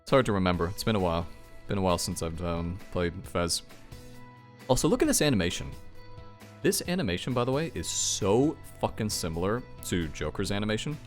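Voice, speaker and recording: A man's voice: low-pitched, very animated, a little fast, soft background music. A man giving casual, rambling, off-the-cuff reaction commentary on video games, thinking aloud and speaking frankly. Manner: rambling, self-questioning, frank with some swearing.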